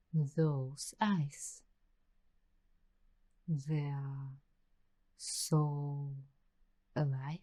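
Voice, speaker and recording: A woman playing an uneasy, suspicious young waitress in an ASMR roleplay, speaking to the listener in hushed, halting phrases. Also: low-pitched, rough recording. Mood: uneasy wonder. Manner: hushed, halting delivery in short broken phrases with long pauses.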